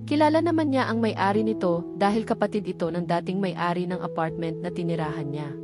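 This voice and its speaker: A woman's voice: lowish voice, fairly quick, music in the background. A woman with a Filipino accent reading a scripted romance novel as an audiobook narrator.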